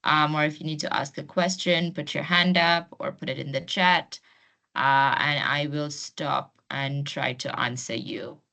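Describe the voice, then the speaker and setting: Woman running an online workshop, lowish voice, takes it slow, keeps inviting people to join in. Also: steady tone, gravelly, pushed and bright. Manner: encouraging, inviting participation. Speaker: host and trainer of an online masterclass. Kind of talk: informal webinar presenting to participants. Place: online video call.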